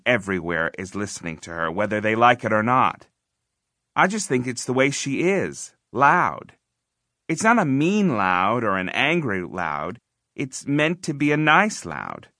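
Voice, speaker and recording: Guy reading a kids audiobook, bright voice, chatty and emphatic like he's confiding in you, super dry sound. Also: mid-range voice, dry recording. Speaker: audiobook narrator. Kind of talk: expressive first-person scripted narration of a children's novel.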